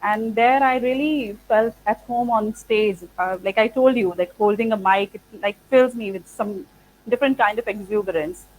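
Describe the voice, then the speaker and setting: A woman's voice: a little high, moderate pace, a little hiss or hum, poor audio. A woman whose first language is Punjabi, a spoken English trainer, answering interview questions thoughtfully in English with many fillers like "like" and "uh". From India. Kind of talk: interview conversation.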